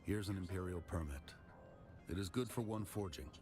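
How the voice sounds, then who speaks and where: An actor playing a stern, formal swordmaster and teacher in a video game, speaking in a measured way. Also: male voice, low-pitched, lightly acted. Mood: stern, instructive. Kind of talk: video game dialogue acting. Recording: music playing behind the voice. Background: game music.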